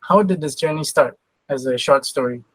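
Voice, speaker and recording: A man's voice: a little high, normal speed, no echo, poor audio. A man hosting a podcast, asking casual, loosely phrased interview questions.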